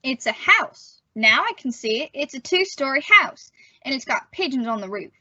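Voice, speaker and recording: A woman's voice: sits high, lively intonation, moderate pace, bright, forceful tone, husky, exaggerated voice. A woman playing a contrary, grumbling young teenage son in an old-time radio comedy. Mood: matter-of-fact. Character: argumentative, plain-spoken young teenage boy, the son. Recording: muffled recording, faint noise.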